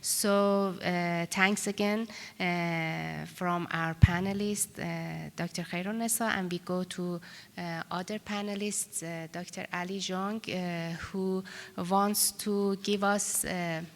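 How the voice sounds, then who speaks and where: A woman's voice: on the low side, steady tone, slow, gravelly. A woman moderating a conference panel, speaking hesitantly with many fillers. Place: large conference hall. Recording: long conference hall reverb.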